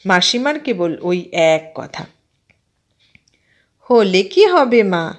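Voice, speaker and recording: Slow-paced woman narrating a story. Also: on the low side. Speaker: audiobook narrator. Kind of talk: literary short-story narration.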